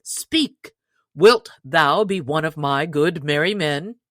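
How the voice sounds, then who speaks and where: A woman's voice: deep voice, takes it slowly, dry recording, studio quality. A woman reading a storybook, voicing a jolly, bold male outlaw leader with hearty, old-fashioned speech. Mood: jovial, hearty.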